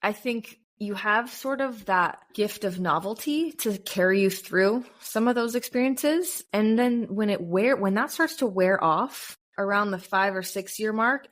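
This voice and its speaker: A woman in her thirties from the United States, a podcast guest chatting casually and telling lively stories. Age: 30s. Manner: animated storyteller, bursts into high-pitched acted quotes and laughs. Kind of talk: casual interview chat.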